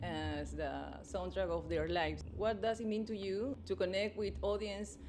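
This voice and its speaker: Woman interviewing over clear background noise. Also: mid-range voice, steady tone, a little slow. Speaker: radio interviewer. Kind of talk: prepared interview questions.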